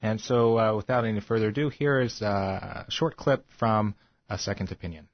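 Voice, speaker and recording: A man hosting a radio show, announcing guests and a clip in a relaxed, casual way. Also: lowish voice, normal speed, dry recording, muffled recording. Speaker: radio host.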